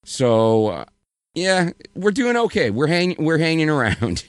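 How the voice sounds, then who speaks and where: Hoarse male podcast co-host, loose casual delivery with restarts, resonant tone. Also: on the high side, very animated, unhurried, no room sound, clean studio sound. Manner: rambling, self-correcting, apologetic.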